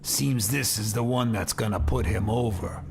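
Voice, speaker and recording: An actor playing a smug show-business booster with slangy patter in a noir audio drama. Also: male voice, lowish voice, normal speed, creaky, background noise.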